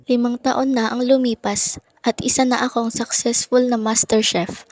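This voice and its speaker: A melancholy, reflective young chef narrates a radio drama as a woman acts it. The voice is fairly high and even in pitch, with music clearly underneath. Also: normal speed, dry recording, close to an everyday voice. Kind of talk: scripted first-person drama narration.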